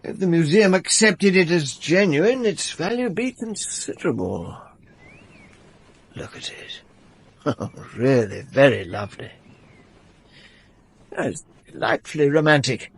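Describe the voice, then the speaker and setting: An actor playing a sly, effusive art dealer in a radio drama, husky and theatrical, savouring his words. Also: a little high, lively intonation, unhurried, long pauses, heavily acted. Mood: rapt admiration.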